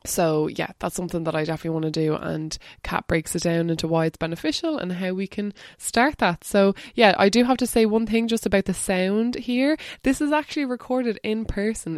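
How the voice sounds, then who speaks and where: A woman's voice: fairly low pitch, no room sound, clean studio sound. A woman, hosting a podcast and chatting in a rambling, laughing way, over crackly mic static. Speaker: podcast host. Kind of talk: casual podcast intro and interview.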